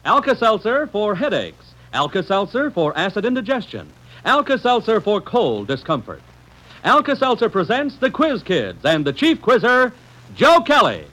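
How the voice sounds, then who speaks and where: Forceful, high-pitched vintage radio announcer man. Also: wildly swooping pitch, moderate pace, poor audio. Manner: emphatic, slogan-like delivery. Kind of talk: 1940s radio advertising announcement. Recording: old radio broadcast recording.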